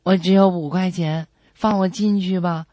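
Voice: medium pitch, steady tone, normal speed, very noisy, pitched above the performer's own voice, close to an everyday voice. A performer voicing an innocent, mischievous young boy in a comedy radio skit, eager and childlike. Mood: earnest. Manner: childlike, eager questioning and boasting.

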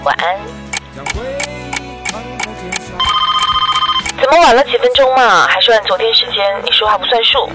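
A woman's voice: fairly high pitch, quick pace, close to an everyday voice. An actress in a radio drama playing a doting, clingy young girlfriend who is coy, tender and pouty. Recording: music behind the voice. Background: background music.

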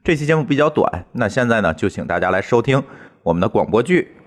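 A man's voice: medium pitch, big pitch swings, fast. A man hosting a podcast, giving a casual, chatty introduction to listeners. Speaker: podcast host.

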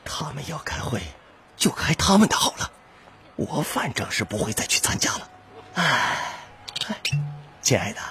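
An actor playing a nervous, self-protective husband and hunted conspirator in a Chinese radio drama, theatrical and jittery. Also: male voice, a little high, sing-song, unhurried, long pauses, bright, forceful tone, husky, heavily acted. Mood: placating, weary.

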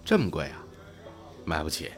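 Reserved working-class son, audio drama. Low voice, short low-key replies, music underneath. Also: male voice, wildly swooping pitch, normal speed, exaggerated voice. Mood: unsure. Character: young working man, polite, quiet, reserved son. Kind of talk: Chinese audio drama dialogue.

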